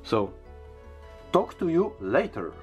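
A man giving a casual unboxing and product review in a halting, hesitant way, often repeating words. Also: a little high, sing-song, darkish tone, soft background music.

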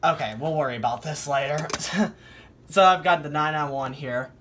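A man giving a casual, halting show-and-tell as a hobby vlogger, thinking aloud behind a handheld camera at home. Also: on the high side, a bit bright. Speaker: hobby vlogger showing a weather radio collection. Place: indoor room at home. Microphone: handheld camera or phone, behind the lens. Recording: noisy amateur home camera recording.

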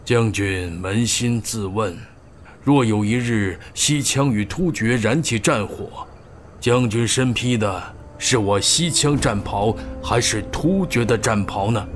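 An actor playing a shrewd royal minister in a historical audio drama, formal, deferential and persuasive. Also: male voice, on the low side, slow pace, soft background music. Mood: grave pleading. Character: shrewd, measured male royal chief minister and strategist.

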